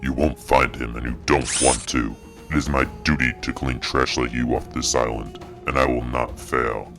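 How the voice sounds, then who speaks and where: A man voicing a stern, ancient mask guardian in an animated fan dub, solemn and scornful. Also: extremely low pitch, normal speed. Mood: stern contempt. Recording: music behind the voice.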